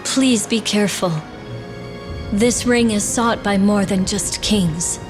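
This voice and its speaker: A woman's voice: mid-range voice, slow pace, close to an everyday voice. Woman as a solemn young princess over music. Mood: grave, resigned. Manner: formal, earnest. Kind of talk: dramatic video game voice acting. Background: game music.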